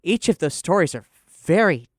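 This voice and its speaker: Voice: medium pitch, expressive, normal speed, dry recording, studio quality. A podcast showrunner and host talking casually with guests, then reading out an earnest promotional announcement to listeners.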